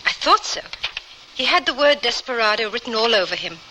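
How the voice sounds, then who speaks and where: A woman's voice: fairly high pitch, big pitch swings, a little fast, noisy background. An actress playing a refined, adventurous English lady traveller in an old-time radio drama, articulate and self-possessed. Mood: determined. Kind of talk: old-time radio drama acting with first-person narration.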